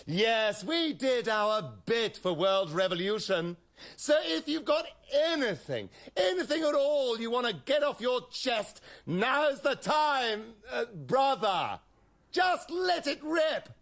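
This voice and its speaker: A man's voice: very high-pitched, very animated, slow, vocal fry, a bit bright, heavily acted. An actor in a radio comedy playing a harassed, brusque and sarcastic male university lecturer. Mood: pleading, calling out. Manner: brusque, sardonic, blustering. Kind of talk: radio comedy drama acting.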